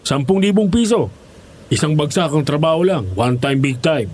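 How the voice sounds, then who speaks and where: A man's voice: on the high side, lively intonation, moderate pace, a little mellow, slight background noise, close to an everyday voice. An actor playing a persuasive, glib male job recruiter with coaxing sales talk in a horror audio drama.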